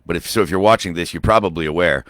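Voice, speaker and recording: A man's voice: sits low, rapid-fire, a bit bright, no room sound. A man with an American accent hosting a morning radio show in casual banter, rambling and teasing, repeating phrases for emphasis. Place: close-miked radio studio.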